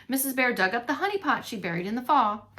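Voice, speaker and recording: A woman reading a storybook aloud to young children as a teacher, expressively, pausing to comment and ask questions. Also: on the high side, lively intonation, bright, forceful tone. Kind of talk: animated read-along storytelling for young children.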